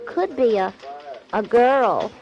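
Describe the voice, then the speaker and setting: A chatty young office girl in an old radio play. Her voice is high and bouncy, perky and a little coy. The recording is dull and vintage. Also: very animated, takes it slowly, heavily acted. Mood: eager, coy. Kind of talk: 1940s radio comedy-drama acting.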